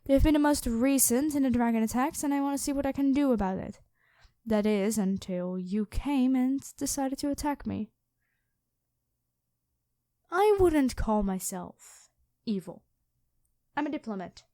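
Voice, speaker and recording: Mellow, high-voiced regal dragon queen played by a woman. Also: a little slow, long pauses, no room sound, studio quality. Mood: explanatory, reproachful. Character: imperious, calmly threatening dragon queen in human form. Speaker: voice actor in an audio roleplay. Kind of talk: ASMR roleplay monologue addressed to the listener.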